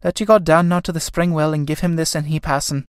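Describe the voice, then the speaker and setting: A woman's voice: low-pitched, steady tone, quick pace, smooth, no echo, clean studio sound, natural voice. A woman playing an anxious, scolding young Irish elder sister in a stage drama, speaking in an earnest, urgent way.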